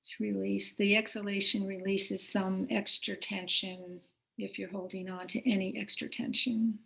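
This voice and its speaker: A woman teaches a guided meditation in a medium-pitched voice. She gives her instructions gently and without hurry, leaving pauses between short phrases. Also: little pitch movement, creaky.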